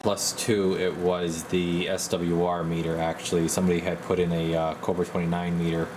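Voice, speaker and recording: Low-voiced man, noisy recording. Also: little pitch movement, moderate pace. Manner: folksy, rambling, informal. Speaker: radio repair technician and video host. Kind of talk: casual hands-on repair walkthrough. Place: indoor repair workbench. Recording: noisy room with clear background hum.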